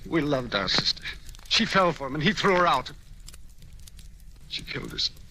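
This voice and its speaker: An actor playing a nervous, soft-hearted, hesitant man caught up in crime in an old-time radio drama. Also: on the high side, animated, moderate pace. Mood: reluctant, bitter. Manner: hesitant, pleading, stammering. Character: nervous, reluctant hotel man, soft-hearted brother of a thug. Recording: noisy vintage radio recording.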